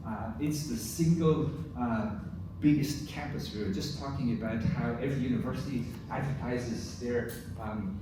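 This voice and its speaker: A middle-aged man from Belgium whose first language is Dutch, giving a relaxed conference presentation with many fillers. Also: medium pitch, moderate pace, hardly any pauses. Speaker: university professor. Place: large conference hall. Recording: echoey hall from far off, noisy.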